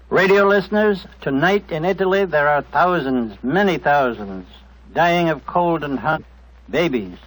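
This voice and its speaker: Solemn man, higher-pitched, making a radio appeal. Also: a bit bright, low-quality recording. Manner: solemn, deliberate, emphatic. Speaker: guest charity appeal speaker, doctor. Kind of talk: scripted 1940s radio appeal. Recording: vintage 1940s radio recording.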